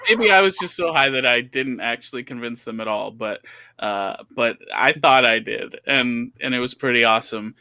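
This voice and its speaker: A man's voice: mid-range voice, normal speed, gravelly, bright, forceful tone, no echo. A man co-hosting a comedy show, casually telling anecdotes in group banter.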